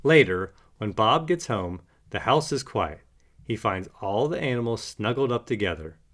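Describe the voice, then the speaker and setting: A man narrating a children's storybook aloud in an animated read-aloud style. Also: lowish voice, normal speed. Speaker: storybook reader.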